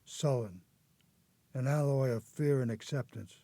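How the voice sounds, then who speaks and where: An actor playing a haunted old grandfather, an escaped convict telling his story haltingly in a radio drama. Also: mid-range voice, warm tone, no echo, natural voice. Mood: haunted, agitated. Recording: noticeable background noise.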